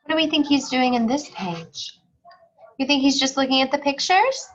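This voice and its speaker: A woman reading a storybook aloud to young children, expressive and singsong, pausing to explain and ask questions. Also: high-pitched, highs cut off, slight background noise.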